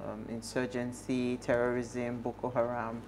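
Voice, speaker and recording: A woman's voice: extremely low pitch, completely flat delivery, a little slow, background noise. A woman with a Nigerian accent giving casual news commentary as a talk show panelist in a studio. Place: TV studio, at a desk.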